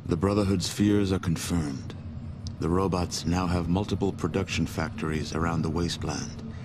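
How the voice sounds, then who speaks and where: An actor playing a solemn, measured war chronicler narrating a post-apocalyptic game. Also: male voice, low-pitched, steady tone, very noisy, natural voice. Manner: grave, measured storyteller delivery. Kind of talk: scripted game cutscene narration. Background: music.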